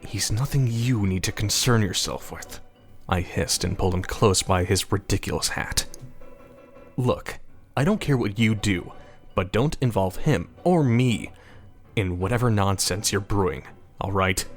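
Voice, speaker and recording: A man playing a weary, irritable mayor who narrates an audio drama with deadpan, grumbling sarcasm. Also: fairly low pitch, a little slow, soft background music. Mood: stern warning. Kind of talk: first-person scripted narration with dialogue, audio drama.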